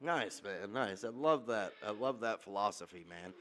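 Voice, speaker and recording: A man's voice: lowish voice, lively intonation, moderate pace, a bit bright. A man hosting a casual podcast interview, hyping up his guest and filling his talk with "uh" and "man". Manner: hype introductions with many fillers and "man". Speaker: podcast host.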